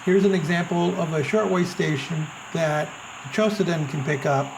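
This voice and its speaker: Gravelly-voiced man reviewing gadgets. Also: on the high side, moderate pace, background noise. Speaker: reviewer and presenter of a radio review. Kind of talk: product review narration.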